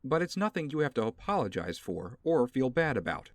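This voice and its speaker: An actor plays an easygoing, doting father in an audio drama. His male voice swings widely in pitch, gentle and playfully coaxing. The recording is dry. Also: sing-song, a little fast, no room sound. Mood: coaxing, comforting.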